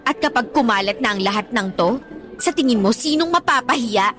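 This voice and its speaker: A woman's voice: fairly high pitch, lively intonation, fairly quick, fairly bright tone, soft background music. An actress playing a spoiled, insolent teenage schoolgirl with a Filipino accent in a Tagalog radio drama, taunting and slipping in English. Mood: taunting, scheming. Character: spoiled, insolent teenage schoolgirl who blackmails her teacher.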